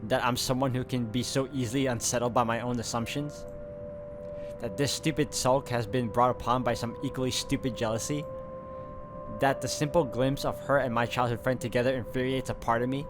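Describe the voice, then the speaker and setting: A man's voice: medium pitch, fairly even intonation, brisk. Man reading a game aloud over music. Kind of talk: reading visual novel narration aloud, with casual asides.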